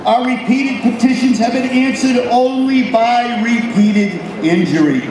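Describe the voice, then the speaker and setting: High-pitched man declaiming slowly, echoing from afar. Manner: loud, solemn, oratorical, with deliberate pauses between phrases. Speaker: military company captain giving a ceremonial reading. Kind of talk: formal public declamation of a historical proclamation. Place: public ceremony at an old statehouse. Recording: distant, echoey public address with background noise.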